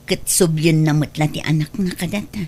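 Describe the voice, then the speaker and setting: A woman's voice: low voice, steady tone, moderate pace, a little mellow. An actress with an Ilocano Filipino accent playing an anxious, polite but firm married woman in a radio drama. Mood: soft, hesitant. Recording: clear background noise and ambience.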